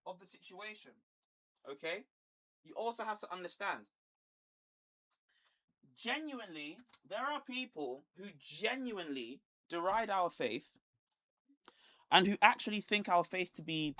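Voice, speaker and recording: A man from Nigeria hosting a casual commentary livestream, talking in an animated, rhetorical way with laughs between points. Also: sits high. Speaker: livestream host.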